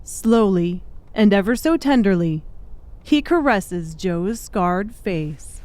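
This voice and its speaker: A woman's voice: mid-range voice, animated, slow pace, a little mellow, no room sound. A woman reading scripted, dramatic audiobook narration.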